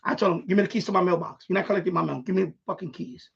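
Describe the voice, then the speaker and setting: A man with a husky voice, telling stories in a blunt, repetitive, sweary way on a casual live stream. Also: high voice, rapid-fire. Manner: blunt, profane, repeats himself, animated. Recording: dull, lo-fi livestream audio.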